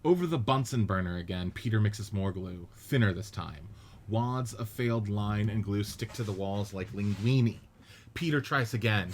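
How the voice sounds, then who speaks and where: A man narrating stage directions in a measured, matter-of-fact way during a radio play script reading. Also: lowish voice, a bit bright, a little hiss or hum.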